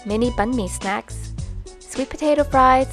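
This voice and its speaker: A woman's voice: high voice, a little slow, over music. A woman hosting her own vlog, narrating in an upbeat, bubbly voice-over. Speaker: vlog host and singer.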